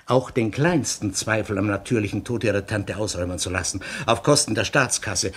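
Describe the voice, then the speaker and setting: An actor playing a shrewd, suspicious police inspector in a 1960s German radio crime drama, speaking deliberately with sardonic, insinuating questions. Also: male voice, lowish voice, a bit bright, breathy edge. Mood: sardonic, accusing.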